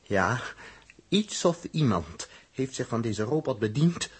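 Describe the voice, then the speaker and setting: Very husky spaceship crewman. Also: mid-range voice, sing-song, takes it slowly, heavily acted. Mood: grave, awed. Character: grave male spaceship electronics expert, protective, puzzled. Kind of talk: 1960s radio drama acting.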